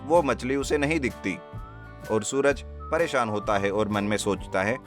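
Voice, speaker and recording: A man's voice: lowish voice, a little slow. A man reading a scripted children's story as a narrator. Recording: music bed under the voice. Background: plucked guitar music.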